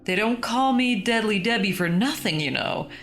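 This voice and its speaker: A woman's voice: medium pitch, animated, moderate pace, natural voice. A woman playing a feisty, gossipy older hostess of a horror story show, chatty, arch and theatrical. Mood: indignant boasting. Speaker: show host. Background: music.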